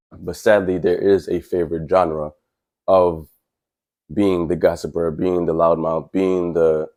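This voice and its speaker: Man, very deep voice, stuffy from a cold, earnest plainspoken tradesman, casual vlog talk. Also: a little mellow. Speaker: welder and tradesman vlogger.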